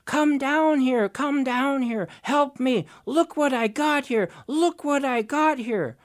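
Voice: high voice, slow pace, voice pushed up. A storyteller voicing a scheming male raven trickster who stammers and wheedles. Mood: eager.

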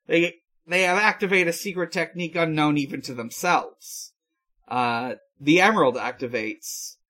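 A man hosting a podcast, giving a casual recap in a halting, hesitant way. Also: on the high side, fairly bright tone. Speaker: podcast host. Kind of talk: casual episode recap chat.

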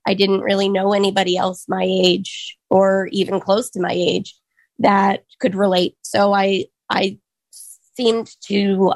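Woman, 30s, hoarse and gravelly, dry close sound, earnest personal talk with lots of ums. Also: mid-range voice, no room sound. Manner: earnest, reflective, pauses with frequent ums. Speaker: speaker sharing her story.

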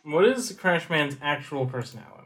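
Podcast co-host, male, higher voice, very lively, dry joker. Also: a little fast, low-quality recording. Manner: dry, joking, laughs often. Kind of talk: casual chat.

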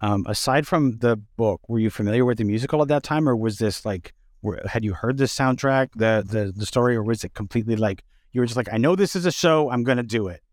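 A man interviews a guest in an informal, conversational way. His voice sits at a middle pitch, with a dry sound free of room echo. He tends to stack and reword his questions as he talks. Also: quick pace, few pauses. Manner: informal, piles up rephrased questions. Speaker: interviewer, host.

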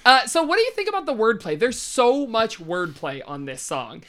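A woman's voice: fairly high pitch, very animated, moderate pace, a bit bright. A young adult woman hosting a casual music podcast, chatty and joking, laughing often. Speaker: podcast host. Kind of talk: casual chat about music.

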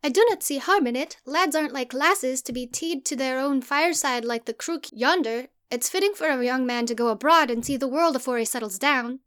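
A woman's voice: a little fast, hardly any pauses, a bit bright, clean tone. A woman doing a tearful old farm mother. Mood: defiant. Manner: high-pitched and emotional. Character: tearful, defiant older farm wife and mother.

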